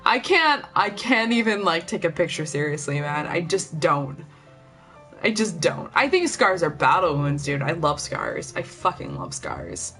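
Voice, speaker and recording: A woman's voice: very animated, unhurried. A young woman chatting casually on a live stream, blunt and profane, rambling with lots of filler words. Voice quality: lower voice than most women. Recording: faint music behind. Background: faint music.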